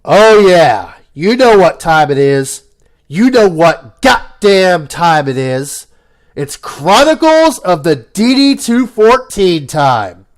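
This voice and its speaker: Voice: medium pitch, big pitch swings, slow pace. A YouTube commentator with a congested, nasal voice from a cold, delivering scripted, sarcastic, profane commentary. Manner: sarcastic, profane, theatrical emphasis.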